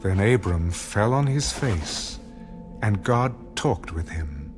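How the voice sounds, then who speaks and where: A man's voice: fairly low pitch, sing-song, slow. A man narrating a dramatized scripture in a solemn storytelling style. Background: music.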